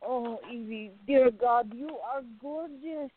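Woman playing an eager lovestruck girl in a radio drama, kinda high, takes her time, coaxing and fond. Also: slow pace, slightly rough, narrow, tinny audio. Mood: awed. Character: smitten, eager young woman, affectionate and insistent. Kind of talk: radio play acting.

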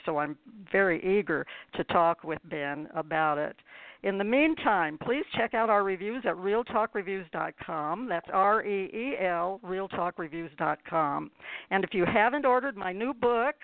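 A woman's voice: lowish voice, dry recording. A woman hosting a radio show, reading announcements and sign-off in a scripted style. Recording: dry, phone-like narrowband radio line.

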